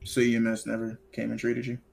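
Fast-talking, creaky-voiced man questioning casually. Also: mid-range voice, monotone, a little mellow. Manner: informal, polite, procedural. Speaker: player acting as police officer. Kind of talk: casual improvised police questioning in game roleplay.

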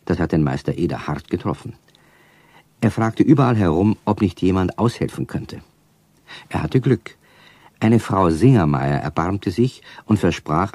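A man narrating a 1970s children's radio play as a measured storyteller. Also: low voice, mellow, husky, no echo, heavily acted.

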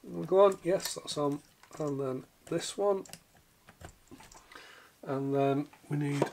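A middle-aged man with a croaky voice from a cold, chatting casually as he repairs gear at his workbench. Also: fairly high pitch, noisy background. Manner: chatty, thinking aloud while working. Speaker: repair video host. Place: home workshop bench.

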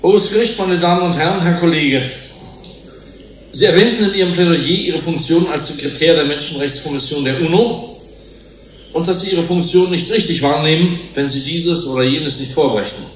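An actor playing a shrewd, combative scientific manager who addresses a tribunal in a pointed, oratorical way in a German radio drama. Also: male voice, on the high side, moderate pace, natural voice. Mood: self-assured. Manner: oratorical, pointed, self-assured. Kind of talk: formal courtroom speech in German radio drama. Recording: roomy hall echo, faint hiss.

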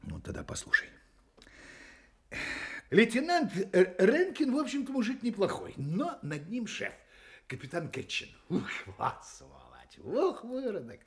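An actor in a radio play voicing a gossipy, cynical, hard-drinking hotel detective who mutters, chuckles and rambles. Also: male voice, high voice, big pitch swings, a little slow, gravelly, exaggerated voice. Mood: animated, indignant. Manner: rambling, muttering, chuckling, full of interjections and tag questions. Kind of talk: Soviet-style radio play acting.

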